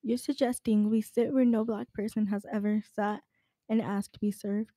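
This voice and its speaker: A woman's voice: medium pitch, steady tone, moderate pace, dark tone, no echo, natural voice. A woman playing a doubtful, anxious teenage college boy in an amateur radio drama. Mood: worried.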